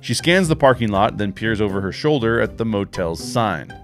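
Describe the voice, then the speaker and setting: A man in his forties reading screenplay action lines in a measured, wry way into a studio microphone in a home podcast studio. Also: fairly low pitch, lively intonation, music in the background. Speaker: host and narrator. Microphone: studio microphone with headphones.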